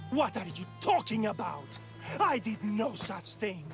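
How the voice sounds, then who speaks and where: A man's voice: high-pitched, very animated, measured pace, over music, full character voice. A man playing a paranoid, hot-tempered village magistrate in a video game, accusatory and threatening. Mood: angry, accusing. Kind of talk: dramatic video game cutscene acting.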